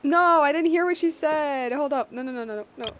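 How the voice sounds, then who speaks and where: A woman live-streaming a game, chatting casually and reacting out loud to the story in an expressive way. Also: high voice, brisk, slight background noise. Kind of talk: casual live game stream commentary, reading dialogue aloud.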